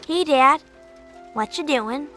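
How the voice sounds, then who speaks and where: A woman's voice: high voice, animated, slow, over music. A woman playing a bored, restless young girl in a video game, sulky and curious, with a whiny, impatient way of talking. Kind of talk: video game cutscene voice acting.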